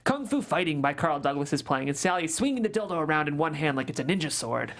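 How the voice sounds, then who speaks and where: Female narrator for table read directions, slightly low, matter-of-fact. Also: sing-song, fast talker. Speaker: narrator reading stage directions.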